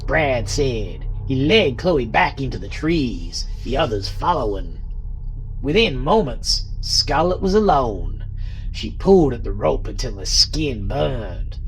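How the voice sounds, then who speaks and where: Casting: male narrator, mid pitch, highly animated, dramatic scripted horror read with music behind. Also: slow, low-quality recording.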